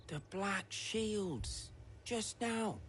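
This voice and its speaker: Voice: mid-range voice, lively intonation, drawn-out words, a bit bright, slight background noise. An actor playing a cheeky, cynical villager in a fantasy video game, talking in a wry, colloquial way with a British accent. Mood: wry, chatty. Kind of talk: scripted video game acting.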